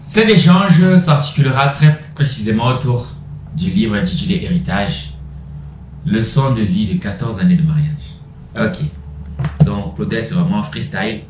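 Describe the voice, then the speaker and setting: A man moderating a podcast, asking probing, slightly provocative questions and giving frequent short acknowledgements. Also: lowish voice. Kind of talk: conversational interviewing. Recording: echoey room with faint hiss.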